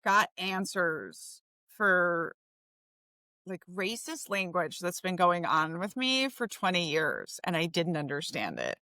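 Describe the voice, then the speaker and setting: Brief: woman from Brazil, podcast co-host, bright forceful tone, close dry sound, makes her points with emphasis. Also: fairly low pitch, big pitch swings, slow, no echo. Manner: emphatic, explains and argues in long stretches. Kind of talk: casual podcast conversation.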